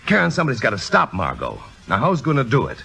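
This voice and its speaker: An actor playing a blunt, exasperated, sardonic husband with emphatic delivery in 1950s radio drama style. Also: male voice, mid-range voice, wildly swooping pitch, quick pace, vocal fry, sharp tone, husky, soft background music, exaggerated voice. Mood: indignant.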